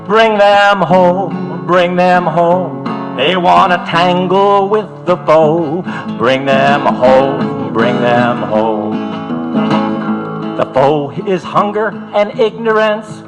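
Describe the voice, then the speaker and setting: Male protest folk singer, high voice, prominent plucked guitar accompaniment. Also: extremely slow, rough recording. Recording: acoustic guitar music throughout. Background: acoustic guitar.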